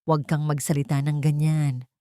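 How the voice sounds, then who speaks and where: A woman's voice: sits low, little pitch movement, normal speed, dark tone, dry recording, studio quality, natural voice. A Filipino woman voicing a cold, threatening, murderous husband in an audiobook drama. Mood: taunting. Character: cold, menacing villainous husband plotting murder.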